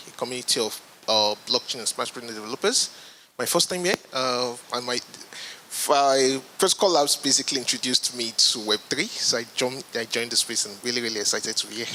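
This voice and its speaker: A man's voice: medium pitch, fairly quick, bright, forceful tone, a little hiss or hum, poor audio. A man from Nigeria giving a brief, friendly self-introduction at a conference. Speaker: attendee.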